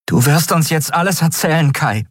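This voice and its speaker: This is a man playing a harsh woman interrogator in a narrated story. The voice is lifted and slightly husky, snapping out demands with anger and an edge of desperation. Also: fairly high pitch, huge pitch swings, measured pace, no echo, clean studio sound, pitched above the performer's own voice, exaggerated voice. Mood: cruel, threatening. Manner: demanding, sharp, exclaiming.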